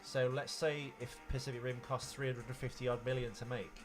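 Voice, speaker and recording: A man's voice: mid-range voice, flat delivery, brisk. Male co-host, opinionated and explanatory, casual conversational delivery, faint music underneath. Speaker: podcast co-host. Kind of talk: casual film chat.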